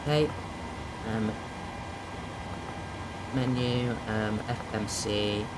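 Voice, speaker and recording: A man's voice: on the low side, extremely slow. A young man from Ireland with an English accent, chatting casually through a flight simulator walkaround with lots of ums. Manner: chatty, filler-heavy with many ums. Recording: loud air conditioning and vehicle hum.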